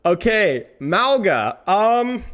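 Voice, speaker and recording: Animated high-voiced male streamer. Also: extremely slow. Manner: exasperated, self-mocking, rambling. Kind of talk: casual live-stream commentary.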